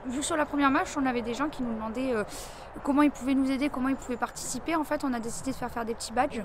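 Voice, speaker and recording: A woman's voice: high-pitched, very fast. A woman casually explaining, eager and chatty, outdoors by a road. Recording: noisy outdoor roadside recording.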